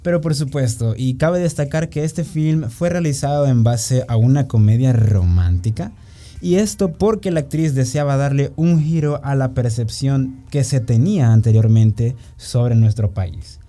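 A man's voice: mid-range voice, a little slow, dark tone. A man with a Salvadoran accent co-hosting a radio segment, reading prepared notes in a friendly way. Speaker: radio co-host.

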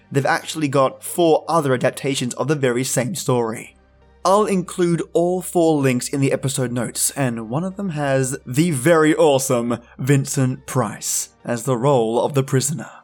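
Dramatic male podcast host over faint music. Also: on the high side. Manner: dramatic storytelling, chummy asides to listeners. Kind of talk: enthusiastic scripted episode introduction.

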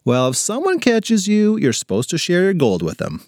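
A man's voice: sits high, huge pitch swings, normal speed, darkish tone, dry recording, studio quality, pitched above the performer's own voice, full character voice. A man voicing a headstrong, sarcastic fifth-grade girl with a dramatic manner in a children's story. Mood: matter-of-fact. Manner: exasperated, dramatic delivery.

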